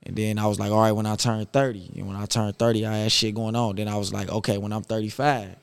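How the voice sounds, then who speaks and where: Gravelly, low-voiced man in his thirties. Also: fast talker, dry recording. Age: 30s. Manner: reserved, even-keeled, slangy. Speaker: podcast guest, boxer. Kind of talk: casual conversational interview.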